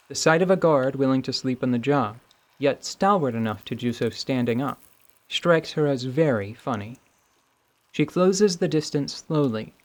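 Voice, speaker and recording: A man narrating a scripted fantasy story in a radio theatre style. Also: medium pitch. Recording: faint background noise.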